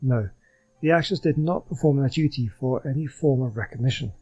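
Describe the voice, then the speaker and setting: A man's voice: medium pitch, a little fast, mellow, breathy edge, dull audio, lightly acted. A man voicing a stern, aloof male guardian warrior from a fantasy game, curt and formal, reading the dialogue aloud.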